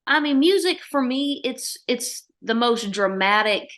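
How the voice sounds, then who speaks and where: A woman with an Oklahoma twang, telling stories about her life with animated swings in her voice. She is in her thirties and talks in a folksy, expressive way, as a musician being interviewed. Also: fairly high pitch, measured pace. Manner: lively, warm storytelling with folksy phrasing. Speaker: country musician guest. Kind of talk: interview answers.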